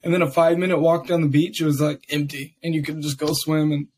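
Raspy young man hosting a podcast. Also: a little high, level tone, quick pace, darkish tone, a little hiss or hum. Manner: loose and rambling, many fillers. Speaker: podcast host. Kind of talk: casual chat.

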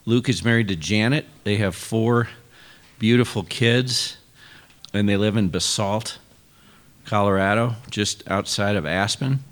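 A middle-aged man with a fairly low voice introduces the morning's speaker. He slips in small jokes and pauses on fillers as he goes. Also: a bit bright. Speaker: event host introducing a speaker.

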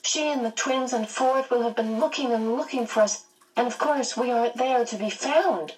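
A woman's voice: a little high, normal speed, recorded from a distance, voice pushed up, lightly acted, rough recording. A woman voicing an anxious young girl in a storybook, worried and pleading.

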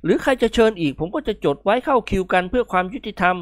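A woman's voice: medium pitch, sing-song, fast, no echo, clean studio sound, voice pushed up, natural voice. A woman voicing a brash, gluttonous male show-off in a comic story, declaiming in a mock-grand way. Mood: exasperated, persuasive.

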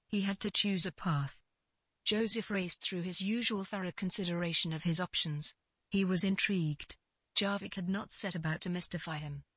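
Husky, low-voiced woman narrating fiction. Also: fairly bright tone, dry recording. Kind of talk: scripted literary fiction narration.

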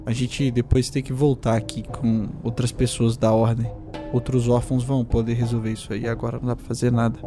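A man's voice: mid-range voice, flat delivery, a little fast, over music. A man playing a tabletop game in a casual, rambling way, describing his character. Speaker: player.

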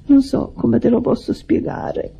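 An actress playing a devoted, lonely sister in an Italian radio drama, husky and earnest, with a hurt confessional manner. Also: female voice, a little slow, dark tone, natural voice. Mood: sad, confessional. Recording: dull vintage radio drama recording.

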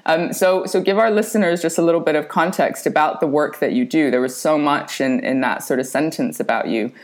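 A woman's voice: deep voice, brisk. A woman therapist and life coach hosting a podcast interview, upbeat and encouraging.

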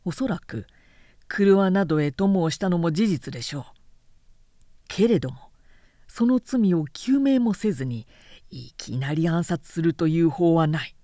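A woman voicing an outspoken, indignant samurai man in a period-novel audiobook, forceful and insistent in formal speech. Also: on the low side, very animated, a little slow, no echo, studio quality. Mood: bitterly indignant.

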